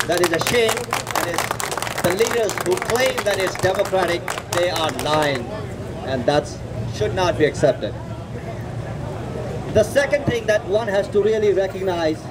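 A middle-aged man with a Nepali accent speaks at an outdoor rally in a distinctly high voice. His political speech is earnest and deliberate, with repeated words for emphasis. Traffic and city street noise are plainly heard around the podium microphone. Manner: emphatic, deliberate, with repetition for stress. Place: outdoor city plaza at night. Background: street traffic and urban ambience.

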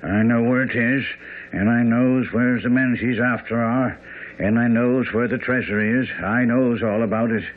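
A man's voice: mid-range voice, steady tone, normal speed, close to an everyday voice. An actor voicing a stubborn old working-class man in a radio drama, breathless and mumbling in a rustic, grumbling way. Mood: wheezy, stubborn. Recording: tinny, noisy old radio broadcast.

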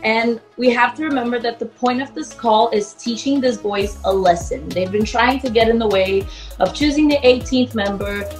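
A woman's voice: fairly high pitch, normal speed, faint music behind. A young woman leading a mock audition in an upbeat, bossy, mock-formal way over a video call.

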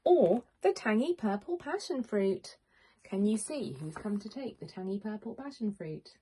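A woman, a teacher reading a picture book to young children in an expressive, questioning manner. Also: medium pitch, normal speed. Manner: expressive, questioning, clearly addressing small children. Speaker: primary school teacher. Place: small home room with bookshelves, indoors.

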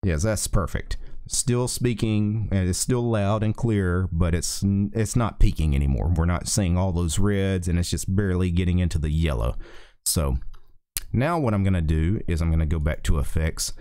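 A middle-aged man with a very low, dark voice, talking through software steps in a relaxed way and restarting his sentences now and then. He records at a desk microphone in a small home office, and the sound is dry and close. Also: normal speed, clear, resonant tone, dry recording. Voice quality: deep voice. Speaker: tech tutorial host. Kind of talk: casual screen-recorded software tutorial. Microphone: desk USB microphone.

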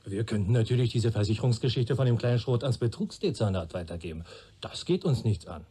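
Dry, mellow young detective. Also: male voice, lowish voice, lively intonation, fast talker, breathy edge. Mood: matter-of-fact, skeptical. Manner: dry, matter-of-fact. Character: dry, correct, skeptical young male police detective. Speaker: actor in a radio crime drama. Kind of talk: German radio play dialogue.

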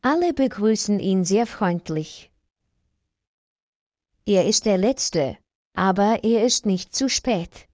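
Slow, clear woman narrator. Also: medium pitch, expressive, a little mellow, dry recording. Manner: slow, clear, evenly paced reading. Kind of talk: slow scripted story reading for learners.

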